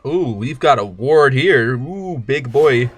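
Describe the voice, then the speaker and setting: A man's voice: medium pitch, lively intonation, slow, faint music behind. Rambling, jokey male game streamer. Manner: rambling, jokey, stammers and restarts. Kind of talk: casual gameplay commentary.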